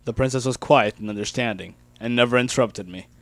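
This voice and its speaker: A man's voice: mid-range voice, fast talker, fairly bright tone, no echo. A man reading first-person fan fiction aloud as an amateur dramatic narrator.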